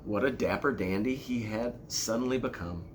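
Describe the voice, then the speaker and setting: A man reading a children's storybook aloud like a school principal, with deliberate, expressive storytelling. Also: on the low side, slight background noise.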